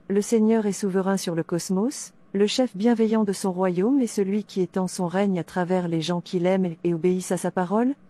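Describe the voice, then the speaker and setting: Woman acting devout female preacher, cartoon narration, mellow tone, mid pitch, solemn, didactic. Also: close to an everyday voice. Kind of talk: scripted religious narration and cartoon dialogue.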